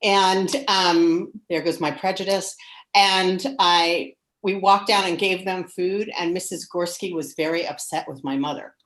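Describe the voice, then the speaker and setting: A woman's voice: fairly low pitch, steady tone, unhurried, fairly bright tone. A middle-aged or older American woman telling personal stories casually in an online discussion over a video call. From United States. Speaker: discussion participant. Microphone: video call microphone.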